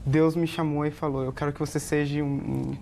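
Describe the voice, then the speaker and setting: A man's voice: fairly high pitch, little pitch movement, fairly quick, vocal fry, over a noisy crowd. Hesitant young Brazilian man. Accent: Brazilian Portuguese. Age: young adult. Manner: hesitant, self-correcting, earnest. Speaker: theology student. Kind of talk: casual personal testimony.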